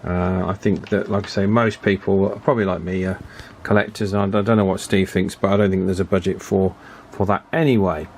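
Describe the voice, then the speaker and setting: A man giving a casual, chatty hands-on repair commentary in an unhurried way, as if thinking aloud. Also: deep voice, level tone, a little mellow, slight background noise. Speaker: radio repair hobbyist presenting his work.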